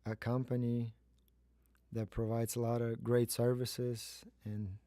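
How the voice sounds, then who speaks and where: A man's voice: medium pitch, level tone, slow pace, warm tone, no room sound, studio quality. A man, casually telling his own story as a podcast co-host. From Kazakhstan. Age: 30s.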